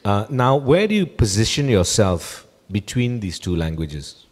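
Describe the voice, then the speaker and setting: Male moderator, lowish voice, measured questioning, live stage talk with hall reverb. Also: sing-song, slight background noise. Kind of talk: interviewing on a public panel. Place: indoor gallery talk on a small stage. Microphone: handheld microphone.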